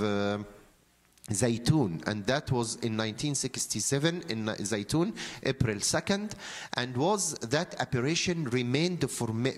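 Halting Egyptian-accented male priest. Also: medium pitch. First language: Arabic. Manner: halting, hesitant phrasing with frequent pauses. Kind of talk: sermon, storytelling from notes. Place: church interior, seated at a lectern. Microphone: handheld microphone held close.

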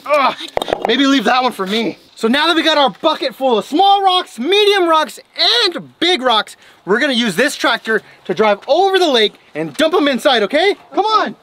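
A woman narrating a family vlog from behind the camera on a farm, excitedly cheering her kids on as they play. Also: sits high, moderate pace. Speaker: mother and family vlogger. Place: outdoors on a farm, barn and lakeside.

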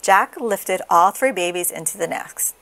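Slow, animated woman reading a storybook. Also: on the low side. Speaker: storybook reader. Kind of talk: expressive children's read-aloud.

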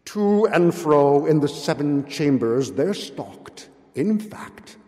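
An older man giving a dramatic, deliberate literary reading of a gothic tale, with hushed drops and swells, at a lectern microphone. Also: fairly high pitch, lively intonation. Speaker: reader at a public recitation. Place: large indoor meeting hall, at a lectern. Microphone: gooseneck lectern microphone. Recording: echoey hall with long reverb.

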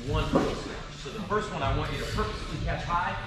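A man's voice: a little high, expressive, moderate pace, noisy background. A man who owns and coaches at a weightlifting gym, upbeat and encouraging. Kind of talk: casual greeting and coaching. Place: large gym, indoors. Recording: roomy gym sound. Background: music.

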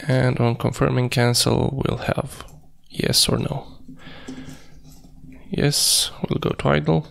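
Creaky-voiced man narrating a coding tutorial. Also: medium pitch, steady tone, slow pace, a bit bright. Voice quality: creaky, gravelly. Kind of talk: screencast tutorial narration, thinking aloud while coding. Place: indoors at a computer, screen recording.

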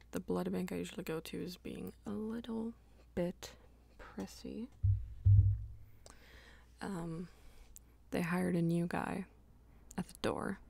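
A woman playing a dominant, teasing female vampire lover in an intimate ASMR roleplay, coaxing and breathy, often dropping toward a whisper. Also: fairly low pitch, a little mellow, exaggerated voice. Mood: playful, casual. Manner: slow, coaxing, teasing pet names.

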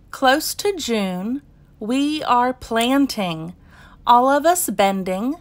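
Female storybook reader, fairly high voice, very slow pace, deliberate phrasing, read-aloud narration. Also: a bit bright. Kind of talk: scripted read-aloud narration of a children's picture book.

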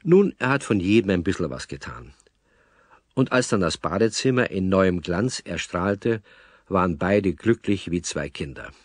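Low-voiced southern German male storyteller. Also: expressive, normal speed, no echo, studio quality. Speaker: narrator. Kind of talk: storytelling narration for children.

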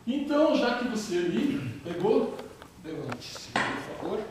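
A middle-aged man in his fifties giving an animated, interactive lecture and questioning his audience, recorded from a distant camera in a large brick-walled lounge. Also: high voice, takes it slowly. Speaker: guest speaker. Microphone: far camera, no mic. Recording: echoey room from far off, noisy.

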